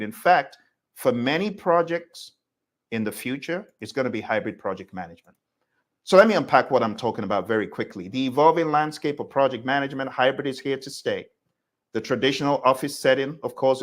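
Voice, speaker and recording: A man teaching a live online class, talking in an emphatic, conversational way and addressing listeners directly. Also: mid-range voice. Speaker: instructor hosting a live class. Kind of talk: live online lecture with audience questions.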